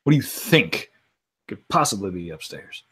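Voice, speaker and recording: A man improvising casually as a player in a tabletop game, with a joking, halting and conversational way of talking. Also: lowish voice, sing-song, sharp tone, husky. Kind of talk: casual in-character improv banter.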